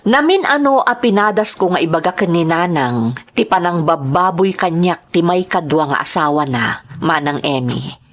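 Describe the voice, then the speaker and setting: A woman playing a wronged daughter, narrating her life story in a measured, confessional way for an audio drama. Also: on the low side, lively intonation, lightly acted. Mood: sorrowful, reflective. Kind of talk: first-person scripted drama narration.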